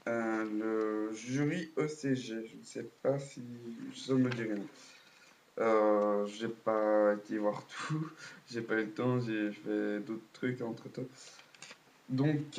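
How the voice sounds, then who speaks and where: A man's voice: on the low side, fairly even intonation, slow pace, a little mellow, a little hiss or hum. A man with a cold giving a casual, hesitant spoken recap full of fillers and restarts. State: has a cold, coughing. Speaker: video blogger.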